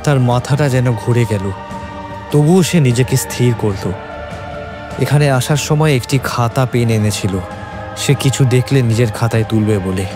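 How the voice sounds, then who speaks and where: A man's voice: mid-range voice, measured pace, music in the background. A man with a Bengali accent narrating a scripted horror story in a dramatic, suspenseful way.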